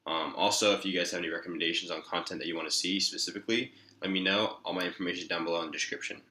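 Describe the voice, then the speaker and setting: A young man talks through his cooking in a relaxed, rambling way, full of likes and ums. His voice sits low. A small kitchen gives it an echoey ring off the walls. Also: racing. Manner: laid-back, filler-heavy talk with many likes and ums. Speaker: fitness vlogger. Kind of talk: casual vlog chat. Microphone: camera mic at arm's length.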